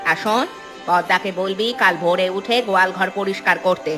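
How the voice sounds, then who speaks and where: A woman's voice: medium pitch, steady tone, fairly quick, over music, lightly acted. A woman voicing a harsh, bossy older mother-in-law in a village cartoon, scolding and commanding. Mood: stern scolding. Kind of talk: cartoon voice acting.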